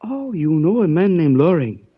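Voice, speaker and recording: An actor playing a suave, menacing older Chinese man in a 1940s radio mystery. He speaks formally and politely, in a measured way, and likes proverbs. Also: on the high side, very animated, breathy edge, dry recording. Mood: calm menace. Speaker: mystery character in a radio drama. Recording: dull, dry old radio recording.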